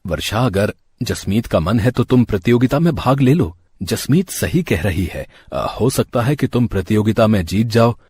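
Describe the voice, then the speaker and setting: Man voicing an ailing, husky, downcast husband. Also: fairly low pitch, animated, moderate pace, darkish tone, pitched below the performer's own voice. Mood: gentle encouraging. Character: sickly, guilt-ridden middle-aged husband and father. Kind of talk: animated moral story dialogue.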